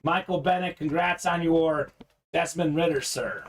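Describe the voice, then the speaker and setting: Male host from Bulgaria, fairly high voice, casual running chat about the pulls. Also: fairly even intonation, measured pace, slight background noise. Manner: chatty, reads out viewer comments. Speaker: live card break host. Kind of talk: casual live-stream chat.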